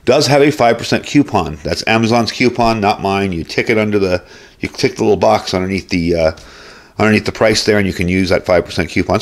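A man giving a casual hands-on gear review as host, explaining things informally, step by step. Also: on the low side.

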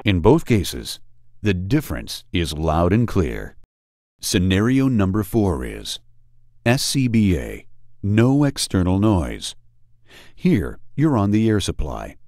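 Male narrator for instructional video: low, mellow, dramatically varied intonation, deliberate and emphatic, dry studio sound. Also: dry recording. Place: studio voice-over.

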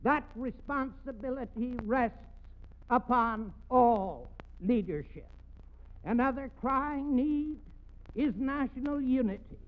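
Slow, high-pitched older man, old radio oratory. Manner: solemn, declamatory, deliberate with weighty pauses. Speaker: alumni leader giving an address. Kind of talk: formal 1940s radio oratory. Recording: muffled, narrow-band 1940s radio recording.

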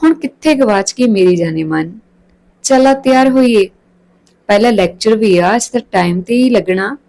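A woman's voice: medium pitch, moderate pace, vocal fry, dark tone, lightly acted, low-quality recording. A woman voicing a cheerful, caring young college girl in a story, chatty and coaxing. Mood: cheerful, teasing.

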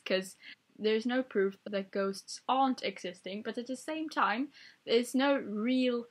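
A young woman whose first language is Swedish, chatting playfully in her bedroom into a webcam and laughing often. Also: on the high side. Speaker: vlogger. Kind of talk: casual tag video chat. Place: small bedroom, indoors. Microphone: webcam at desk.

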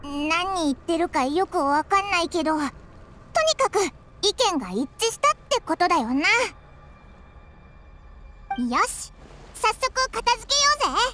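A woman voicing a small, excitable fairy companion in an anime game, with a squeaky childlike voice and brash, casual speech. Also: measured pace, long pauses, soft background music, heavily acted. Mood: eager, brash. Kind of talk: Japanese anime game voice acting.

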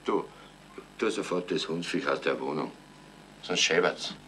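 A man's voice: a bass voice, measured pace, sharp tone, heavily acted. Blustering Bavarian father, noisy recording. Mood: stern warning. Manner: blustering, commanding. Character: gruff, domineering Bavarian father, quick to threaten. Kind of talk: 1970s German radio drama acting. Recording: noisy 1970s radio recording.

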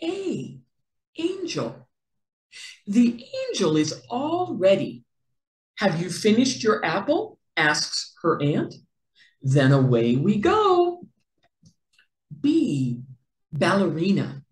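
A middle-aged woman reading a children's picture book aloud in an expressive, deliberate storytelling manner with careful enunciation. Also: medium pitch, reverberant, poor audio. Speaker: read-aloud host for children.

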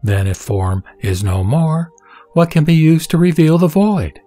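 A man reading Buddhist scripture from a script in a measured, didactic manner. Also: a little high, sing-song, warm tone. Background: background music.

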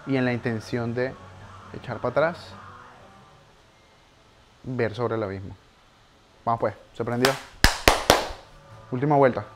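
A man's voice: mid-range voice, measured pace, pauses often, over music. A young man, a personal trainer coaching a client through a workout live, counting reps and urging her on. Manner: urgent encouraging counting of reps, pushes harder near the end. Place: home garage gym, indoors.

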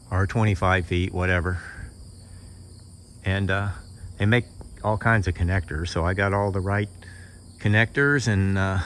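A man's voice: lowish voice, a bit bright. A middle-aged American man giving a casual, unscripted show-and-tell outdoors in a plain-spoken, folksy way. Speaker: hobbyist presenter. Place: outdoors in a yard and on a roof. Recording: outdoor recording with insect noise.

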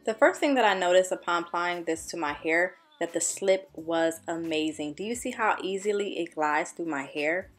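A woman's voice: on the low side, moderate pace, hardly any pauses. A young woman beauty vlogger narrating a scripted tutorial voice-over in an upbeat, enthusiastic manner. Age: young adult.

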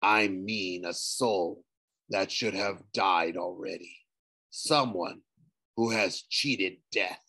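A man reading an audiobook, voicing a sly, menacing male ghost in a low, hushed, breathy voice, slow and persuasive. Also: exaggerated voice. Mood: coaxing, sinister. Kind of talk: audiobook character voice.

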